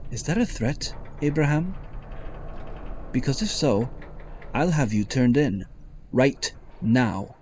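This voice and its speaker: An actor playing a stern, distrustful, principled ship captain in an audio drama, blunt and formal. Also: male voice, mid-range voice, animated, takes it slowly, pauses often, a little mellow, slight background noise. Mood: indignant.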